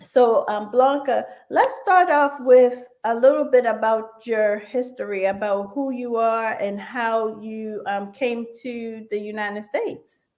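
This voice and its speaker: A woman's voice: fairly high pitch, slow pace, hardly any pauses, darkish tone. A woman hosting a conversational radio interview show.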